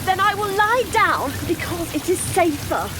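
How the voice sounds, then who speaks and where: An actress playing a lively, affectionate yet cautious young woman in an audio drama. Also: extremely high pitch, unhurried, very noisy, full character voice. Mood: alarmed. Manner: expressive, animated. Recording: loud rain and thunder effects.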